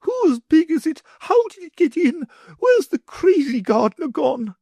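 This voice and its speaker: A man reading aloud, voicing a shrill, doting, fussy noblewoman who shrieks when excited. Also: unhurried, creaky, no room sound, clean studio sound, full character voice. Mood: shrieking.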